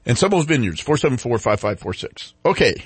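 A man's voice: mid-range voice, sing-song, fast talker, breathy edge, no room sound. A male host of a local morning talk radio show in Northern California, chatting loosely with many fillers and restarts, and reading live ads. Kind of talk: casual morning radio chat and live ad reads. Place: radio studio. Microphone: studio microphone.

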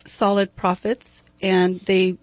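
A soft-spoken woman talks through market news as a guest on morning radio. Her plain, unhurried delivery is dry and close in the studio, with a few ums along the way. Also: medium pitch, flat delivery, no echo. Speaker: guest giving weather and market update. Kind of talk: radio talk chat. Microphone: studio microphone.

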